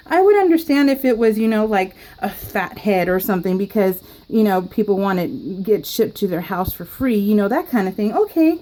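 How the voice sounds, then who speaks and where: A woman's voice: on the high side, warm tone, low-quality recording. A woman rambling through a story in casual chat, laughing often, recorded at home. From California. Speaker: scrapbooking vlogger. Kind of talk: casual storytelling while crafting.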